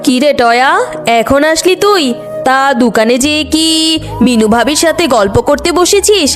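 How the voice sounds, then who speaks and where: A woman's voice: sits high, lively intonation, moderate pace. A woman voicing a harsh, greedy tigress aunt with an accusing, nagging manner in a children's cartoon. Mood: suspicious, scolding. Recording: faint music behind the voice.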